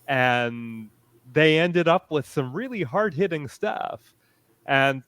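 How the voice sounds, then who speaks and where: A man with a fairly high, very clear and smooth voice, recorded dry and close. He talks casually and keenly as a fan on a panel. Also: lively intonation, takes it slowly, fairly bright tone, no room sound. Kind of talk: casual, enthusiastic fan discussion.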